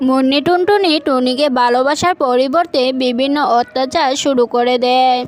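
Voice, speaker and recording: A woman's voice: sits high, normal speed, soft background music. A woman narrating a scripted children's cartoon story.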